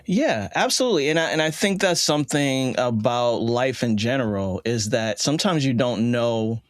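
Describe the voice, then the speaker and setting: A man's voice: medium pitch, lively intonation, normal speed, no room sound, studio quality. An American man speaking as a podcast guest in a casual, reflective interview conversation. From United States. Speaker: podcast guest, audio drama creator.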